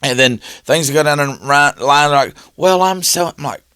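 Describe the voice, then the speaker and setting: A man's voice: on the high side, normal speed, smooth, no echo, clean studio sound. A man, telling stories in casual chat and acting out the dialogue as he goes. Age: 50s. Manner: animated storyteller who acts out quoted dialogue.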